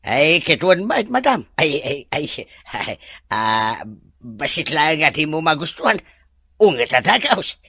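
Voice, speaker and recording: A man's voice: fairly high pitch, sing-song, a little slow, vocal fry, sharp tone, breathy edge, dry recording, clean studio sound, full character voice. A man playing a sheepish older farmhand in an Ilocano audio drama, stammering deferentially with an Ilocano accent. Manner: stammering, interjection-heavy.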